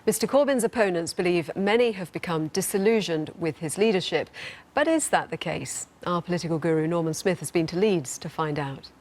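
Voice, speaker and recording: Female news presenter, scripted introduction, fairly low pitch. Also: fairly quick, darkish tone.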